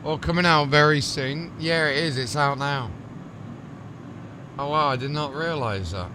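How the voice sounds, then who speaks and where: A man's voice: on the high side, a little slow, noisy background. A man chatting casually and informally to viewers as a live game streamer. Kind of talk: casual gaming livestream chat. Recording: music playing behind the voice.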